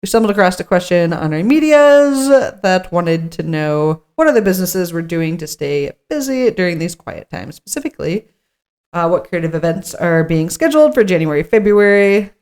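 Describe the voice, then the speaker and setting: A woman's voice: lowish voice, animated, moderate pace, a little mellow. A woman co-hosting a podcast in casual chat, with a playful, jokey manner, sometimes reading from notes. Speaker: podcast co-host.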